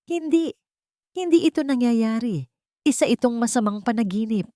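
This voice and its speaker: A woman's voice: on the high side, lively intonation, moderate pace, warm tone, no echo, clean studio sound, pitched above the performer's own voice, lightly acted. A Filipino woman playing a desperate, scheming woman in an audio drama, pleading and agitated. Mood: panicked denial. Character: desperate, scheming woman exposed before high society.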